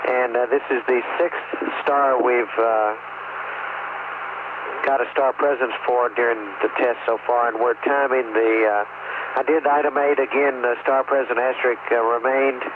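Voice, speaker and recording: A man's voice: mid-range voice, fairly even intonation, measured pace. A man reporting technical details as an astronaut over two-way radio, matter-of-fact with hesitant phrasing. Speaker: astronaut, shuttle crew. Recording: muffled phone-like spacecraft radio, clear static.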